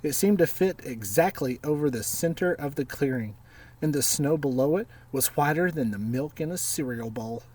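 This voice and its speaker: A man reading a children's story aloud in a measured way, with pauses between phrases. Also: a little high, animated, darkish tone, husky, distant mic. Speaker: storybook reader.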